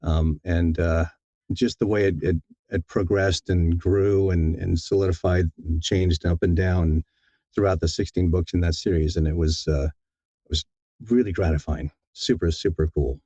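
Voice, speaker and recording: A man's voice: sits low, fairly even intonation, normal speed, dark tone, dry recording, dull audio. A man being interviewed as an audiobook narrator, talking casually and thoughtfully, often repeating words as he feels his way along.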